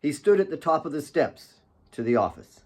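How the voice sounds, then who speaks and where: A man's voice: a little high, very animated, moderate pace, a little mellow. A man reading his own fiction as a scripted, dramatic audiobook narration.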